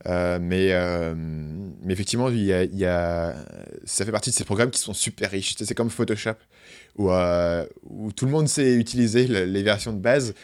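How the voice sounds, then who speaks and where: A man hosting a casual conversation, asking questions in a relaxed way with fillers. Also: sits low, moderate pace. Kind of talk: casual interview chat.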